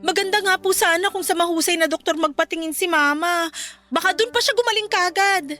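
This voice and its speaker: A woman's voice: extremely high pitch, a little fast, sharp tone, soft background music. A woman acting a humble, worried young employee in a Filipino radio drama, speaking politely and hesitantly with a Filipino accent.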